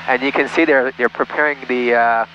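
Man, thirties, private pilot, bright forceful voice, explanatory cockpit chat, dull headset audio. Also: mid-range voice, fairly even intonation, a little fast. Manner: explanatory, step by step. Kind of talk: casual cockpit commentary and radio calls. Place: small light aircraft cockpit in flight. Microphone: aviation headset boom. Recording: muffled aircraft headset audio, engine noise.

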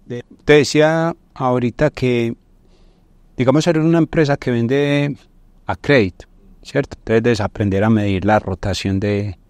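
A middle-aged Colombian man with a fairly low voice talks as an expert guest on a podcast. He explains didactically, often checking in with short tag questions. The sound is dry and close, from a lapel mic in a studio. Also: a little mellow, dry recording. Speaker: guest business finance consultant.

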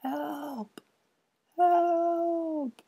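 A man voicing a frail, sickly small boy with a high, thin, breathy voice and weakening cries. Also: big pitch swings, slow pace, mellow, heavily acted. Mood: wailing.

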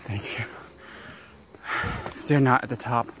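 A travel vlogger talks casually and slowly in short remarks, at a middling pitch. The voice is caught on a handheld recorder outdoors, with clear ambient noise and insects around it. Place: outdoors on a mountain temple stairway and summit. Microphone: handheld phone or camera held by speaker.

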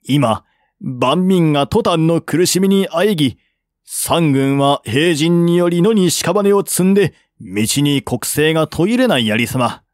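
Court envoy acted by a male reader, high-pitched, polite, insistent, formal historical audiobook delivery, dry sound. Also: no echo. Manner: formal, deferential, argumentative.

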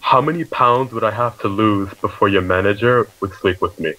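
A man playing an earnest, naively persistent hefty girl shopper on a prank phone call, speaking haltingly. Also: fairly low pitch, pushed and bright. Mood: insistent, nosy.

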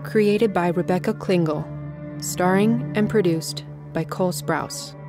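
Woman, announcer, scripted credits, lowish pitch, slow delivery, music behind.

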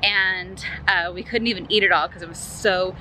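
A woman's voice: lowish voice, steady tone, moderate pace, sharp tone, slight background noise, low-quality recording. A woman in her thirties, a mom vlogging casually as she tours a resort and points things out, filming herself at arm's length. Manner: chatty, upbeat, tour-guide pointing things out. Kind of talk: casual vlog narration. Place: outdoors at a resort and indoor arcade. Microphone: phone or camera held at arm's length.

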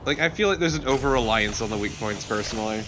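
A man's voice: mid-range voice, expressive, fast, noisy background. A man co-hosting a let's play, giving opinionated, conversational commentary. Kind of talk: casual gameplay commentary.

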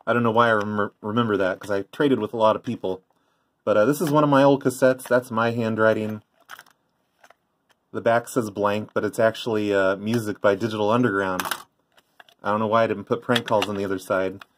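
A man's voice: fairly low pitch, fairly even intonation, fairly quick. A middle-aged man giving an offhand, rambling show-and-tell commentary in a casual way. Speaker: vlogger, former prank call tape trader. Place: indoors by a tape deck.

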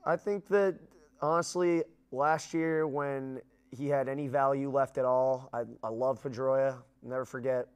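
Blunt, smooth-voiced male sports pundit. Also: mid-range voice, fairly even intonation, a little slow. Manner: blunt, emphatic opinions. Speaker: guest commentator. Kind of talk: studio sports talk. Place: small studio with dark backdrop.

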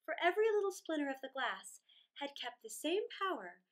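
A middle-aged woman reading a children's story aloud in a theatrical, deliberate way, drawing out words with dramatic pauses. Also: high-pitched, sing-song. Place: small indoor room against a plain wall.

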